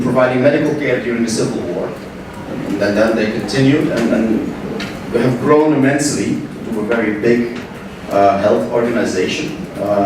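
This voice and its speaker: A man from Belgium talks at a conference panel, stumbling over repeated words as he explains. His voice sits at an ordinary pitch and reaches the mic from a distance. It rings through a very echoey hall over a steady noise in the background. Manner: hesitant, many repeated words and restarts. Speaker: panel speaker. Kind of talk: conference talk.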